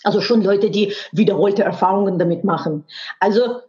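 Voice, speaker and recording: A woman's voice: medium pitch, a little fast, darkish tone. A woman speaking a language that is not her first, a guest in a candid conversation, talking frankly and emphatically with earthy expletives and restarting as she searches for words. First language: not German. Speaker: guest, sex educator and hypnotherapist. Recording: dull, muffled audio.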